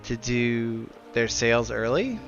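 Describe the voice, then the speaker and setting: A man's voice: mid-range voice, sing-song, takes it slowly, fairly bright tone, dull audio, soft background music. A man streaming a game live, chatting casually in a friendly, rambling way. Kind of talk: casual chat while streaming.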